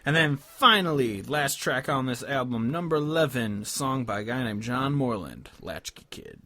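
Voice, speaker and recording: A man's voice: medium pitch, lively intonation, normal speed, sharp tone, no echo. A man co-hosting a music podcast in casual conversation. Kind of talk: casual chat, introducing album tracks.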